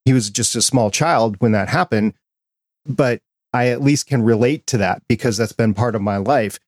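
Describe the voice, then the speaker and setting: A man's voice: mid-range voice, normal speed, dry recording, clean studio sound. A man with a Midwestern American accent, hosting a podcast chat and explaining his views in an earnest, reflective way. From Midwestern United States. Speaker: podcast host. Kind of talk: casual interview chat.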